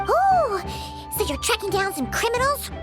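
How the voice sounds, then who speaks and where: Very high squeaky childlike fairy companion. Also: female voice, very animated, normal speed, music in the background. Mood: curious, indignant. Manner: chirpy, squeaky, refers to herself in third person. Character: small excitable floating fairy girl companion, childlike, naive. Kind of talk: video game voice acting.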